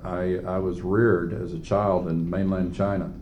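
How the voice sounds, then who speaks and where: A man's voice: low voice, flat delivery, measured pace, lo-fi sound, a little hiss or hum. An older man with a West Texas accent, giving a reflective oral history interview with wry humor. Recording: roomy echo.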